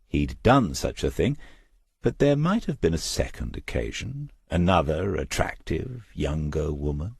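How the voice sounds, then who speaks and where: Very deep, husky male audiobook narrator. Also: a little mellow, no echo, studio quality. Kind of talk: scripted crime novel narration.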